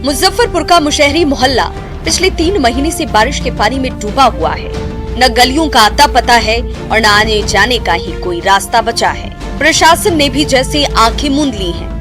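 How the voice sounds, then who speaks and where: A woman reading a scripted, dramatic news narration. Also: on the high side. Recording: music bed behind voice.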